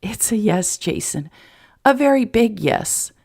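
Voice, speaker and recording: A woman's voice: medium pitch, lively intonation, measured pace, vocal fry, darkish tone, slightly rough, voice pushed up, exaggerated voice. A woman voicing a tender, emotional, playful woman in love in an audiobook romance, with heartfelt sincerity.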